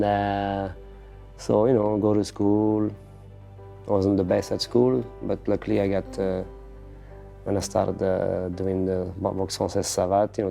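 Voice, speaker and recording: A man's voice: low-pitched, gravelly, warm tone. A man from Morocco raised in France, speaking English in a documentary interview, leaning on "you know". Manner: conversational, leans on "you know". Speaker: interviewee, savate fighter.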